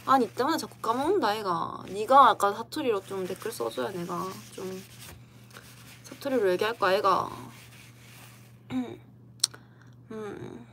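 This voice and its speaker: A woman's voice: mid-range voice, unhurried, pauses often, pushed and bright, slight background noise. A young woman casually chatting with viewers on a livestream from indoors, playful and giggly, replying to comments. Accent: Korean dialect. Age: young adult. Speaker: idol streamer. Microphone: computer livestream mic.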